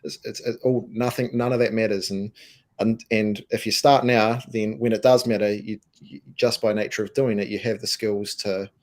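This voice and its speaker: Man from New Zealand, low and croaky, emphatic business guest who stumbles and repeats. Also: steady tone, moderate pace, a little mellow. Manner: emphatic, repeats words, restarts. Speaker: co-founder and co-CEO, guest. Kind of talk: casual interview answers.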